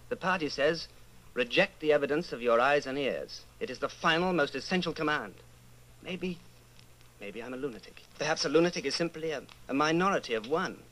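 A man's voice: a little high, fairly bright tone, low-quality recording. An actor playing an earnest, troubled, solitary man in an old-time radio style drama. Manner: earnest, brooding.

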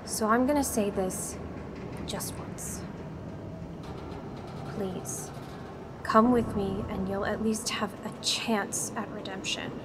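A woman's voice: medium pitch, fairly even intonation, takes it slowly, long pauses, background noise, natural voice. A woman as a tough, determined young spirit heroine. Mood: firm, earnest. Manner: earnest, firm. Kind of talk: radio play acting. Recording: voice over clear music.

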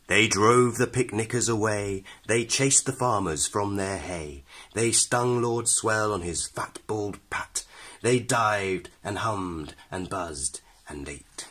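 A man's voice: lowish voice, fairly bright tone. A man narrating a children's storybook in rhyming verse, reading expressively with a dramatic, rhythmic delivery. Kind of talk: expressive scripted read-aloud of rhyming verse.

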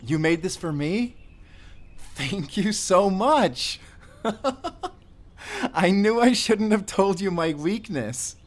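A man playing a friendly, bashful forest ranger and doting father in an intimate audio roleplay, chatty and gently teasing. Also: high-pitched, lively intonation, slow, long pauses. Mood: teasing, delighted.

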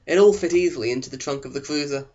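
Sarcastic man reading, higher-pitched. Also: fast, dark tone. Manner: sarcastic, melodramatic. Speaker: audiobook reader. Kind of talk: sarcastic scripted narration. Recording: dull, lo-fi sound.

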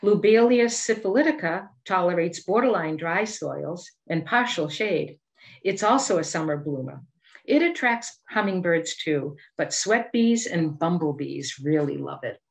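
A middle-aged woman with a Boston accent gives a scripted educational presentation over a video call, measured and articulate. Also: on the low side, expressive, gravelly. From Boston area, United States. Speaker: master gardener giving a presentation.

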